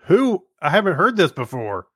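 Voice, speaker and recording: A man's voice: a little high, big pitch swings, normal speed, fairly bright tone, a little hiss or hum. A man chatting casually as the co-host of a podcast. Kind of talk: casual chat.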